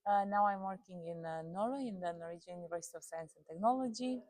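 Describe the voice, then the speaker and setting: A woman from Romania talks as a guest in a casual interview. She is animated, laughs easily and often rephrases her thoughts mid-sentence. Her voice sits mid-range with a dark, mellow warmth. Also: mid-range voice, quick pace.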